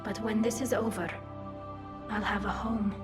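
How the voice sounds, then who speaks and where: Sci-fi game alien woman, female actor: gentle, longing, reflective, mid-pitched, with music clearly behind. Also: steady tone, normal speed, lightly acted. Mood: wistful, awed. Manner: soft, wondering, reflective. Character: female alien exile, young, gentle, wistful, longing for home.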